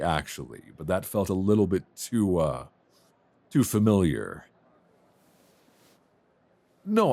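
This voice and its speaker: A man acts out a low-voiced, flirtatious male traveler in an intimate romance roleplay. His deep voice moves with lively pitch swings as he rambles, hesitates and pokes fun at himself. The sound is close and dry, recorded on a binaural mic. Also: no room sound, full character voice. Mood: flustered, flirty. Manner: rambling, hesitant, self-deprecating, chuckling. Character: chatty, flustered, warmly flirtatious male airline passenger. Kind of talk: romance ASMR roleplay audio drama. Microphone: close binaural microphone.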